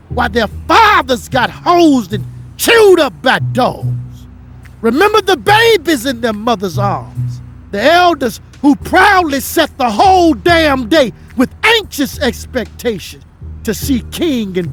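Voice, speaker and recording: Indignant official, high-pitched, very animated, slow declamation, scornful, theatrical audio drama. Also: full character voice. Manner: declamatory, oratorical, mocking. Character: indignant, scornful official rebuking idle commoners.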